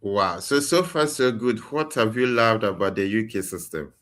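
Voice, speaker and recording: A man's voice: lowish voice, lively intonation, moderate pace, a bit bright. A man hosting a casual interview, asking questions in a conversational way. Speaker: interviewer, host.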